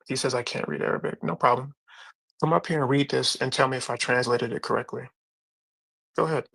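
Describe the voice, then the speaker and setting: A man's voice: medium pitch, steady tone, fairly quick, vocal fry, fairly bright tone, husky, low-quality recording. A man in a casual live-stream debate, talking bluntly and challenging others. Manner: blunt, challenging, reads scripture aloud. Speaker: debate panelist.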